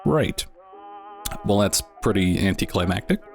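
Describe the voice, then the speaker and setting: A man's voice: low-pitched, animated, measured pace, gravelly. Dry, wry male show host. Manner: dry, tongue-in-cheek. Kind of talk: scripted narration, wry commentary. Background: background music.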